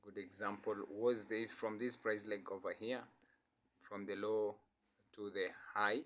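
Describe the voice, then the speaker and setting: A man's voice: on the low side, level tone, a little slow, a bit bright. A man with a flu talking through trading charts in a casual, hesitant way, with many fillers and restarts. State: has a flu. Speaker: trading analyst. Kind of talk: casual screen-share chart analysis.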